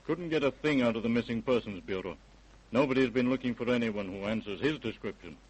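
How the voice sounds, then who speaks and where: A man playing an unhurried American police doctor in an old-time radio crime drama. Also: medium pitch, breathy edge, close to an everyday voice, low-quality recording. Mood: matter-of-fact.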